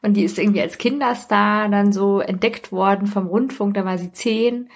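A woman's voice: medium pitch, fairly even intonation, normal speed. A woman chatting casually as a podcast guest, recounting things in a loose, tentative, rambling way.

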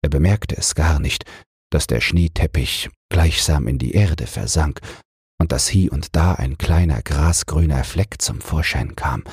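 A man reading a fairy tale as a bedtime audiobook in a measured, soothing storytelling manner. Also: extremely low pitch, a little mellow, breathy edge, no room sound, studio quality. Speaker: audiobook reader.

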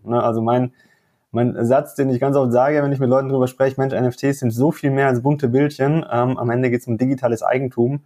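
A man speaks as a podcast guest, his nose a little stuffy. He explains things eagerly and repeats phrases for emphasis, in a mellow, warm voice. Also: mid-range voice. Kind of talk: casual podcast chat.

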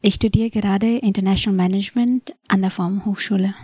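A woman's voice: mid-range voice, steady tone, fairly quick, mellow, breathy edge, no room sound, clean studio sound. A woman in her twenties from Nepal, a native Nepali speaker, telling her story as a podcast guest, thoughtful and hesitant with many fillers. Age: 20s. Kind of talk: personal story in an interview. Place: podcast studio.

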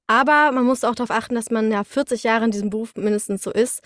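A woman's voice: on the high side, fast talker, a bit bright, dry recording, clean studio sound. A young woman chatting casually as an interview guest, telling her story in an enthusiastic, colloquial way. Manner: enthusiastic, colloquial, acts out quoted exclamations. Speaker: interview guest, studio voice artist.

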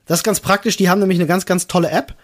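A man's voice: high voice, steady tone, very fast. A man chatting casually and enthusiastically as a podcast co-host, in colloquial language.

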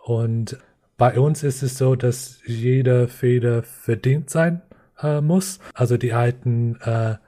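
Non-native male guest, warm dark tone, even delivery, hesitant phrasing. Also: mid-range voice, slow. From Lakota reservation, US Northern Plains. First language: not German. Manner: hesitant, many fillers and restarts. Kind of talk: podcast interview, reflective explaining.

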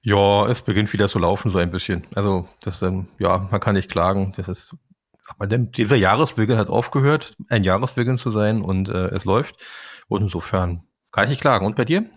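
A man's voice: lowish voice, a little fast, no echo, clean studio sound. A man co-hosting a podcast, easygoing and chatty, with hesitant restarts. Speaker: podcast co-host. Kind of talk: casual podcast conversation.

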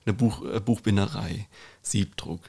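A man's voice: fairly low pitch, measured pace, vocal fry, a little mellow, husky. A man co-hosting a casual podcast chat, talking in a rambling, wry way. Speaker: podcast co-host.

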